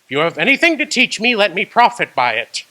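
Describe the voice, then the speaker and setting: An awed old miser, played by a man in a radio play. His voice leaps up and down with surprise and sounds bright and tense. He keeps asking where he is and who he sees. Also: high-pitched, huge pitch swings, fairly quick, exaggerated voice. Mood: wondering. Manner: startled, questioning.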